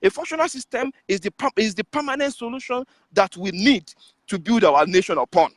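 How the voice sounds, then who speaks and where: A man's voice: high-pitched, lively intonation, fairly quick, no echo, studio quality. A man, a guest author making an earnest, insistent appeal and repeating himself on a call.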